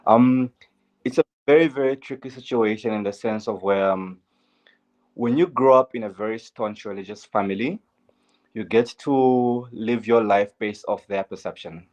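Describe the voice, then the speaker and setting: A man's voice: lowish voice, moderate pace, a little mellow, lo-fi sound. A young man with a Zambian accent, a guest in an interview, speaking earnestly and candidly about his life, with frequent fillers and set phrases. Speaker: guest, LGBTQ advocate. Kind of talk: interview, personal story.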